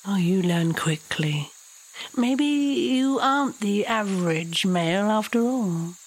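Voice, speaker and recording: Woman playing a slow-spoken, commanding angel. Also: medium pitch, lively intonation, a little hiss or hum, poor audio. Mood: coolly amused. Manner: formal, firm, coolly wry. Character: poised, commanding, self-assured female angel. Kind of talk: fantasy audio drama acting.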